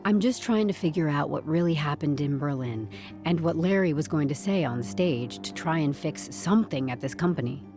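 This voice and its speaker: An actress playing a tactful but persistent HR investigator, asking careful questions in a scripted audio drama. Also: female voice, low-pitched, animated, normal speed, dark tone, faint music behind. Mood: probing. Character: probing, tactful female HR investigator, quietly persistent.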